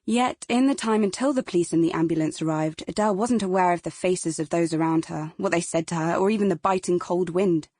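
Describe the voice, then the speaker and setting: A woman's voice: fairly low pitch, brisk, hardly any pauses, a little mellow, dry recording, studio quality. A woman with a British accent narrating dramatic fiction as a scripted audiobook.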